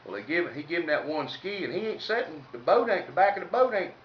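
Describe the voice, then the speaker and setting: A Southern American man tells funny stories in a colloquial, country manner. His voice sits slightly high. The recording is distant and somewhat dull, with a faint noise under it. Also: lively intonation, fast, echoey room. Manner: folksy, colloquial, salty. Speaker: comedic storyteller. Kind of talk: informal humorous storytelling.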